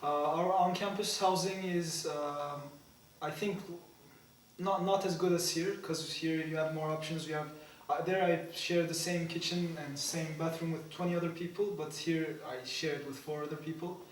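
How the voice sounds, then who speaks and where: A man's voice: a little high, steady tone, normal speed, a little hiss or hum. A young man with a Turkish accent, an exchange student answering interview questions hesitantly, with many fillers. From Turkey. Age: young adult. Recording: echoey room, mic at a distance.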